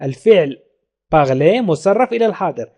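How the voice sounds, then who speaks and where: A man teaching beginner French in Arabic, repeating each phrase slowly and translating it. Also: sits high, expressive, darkish tone. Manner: slow, careful repetition of French phrases with Arabic glosses. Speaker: language teacher. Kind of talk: French lesson explained in Arabic.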